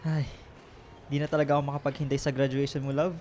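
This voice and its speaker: A man's voice: mid-range voice, little pitch movement, quick pace, a little mellow, slight background noise, lightly acted. A man playing a devoted, patient young boyfriend in a radio romance drama, with a soothing, coaxing way of talking. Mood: sweet, teasing.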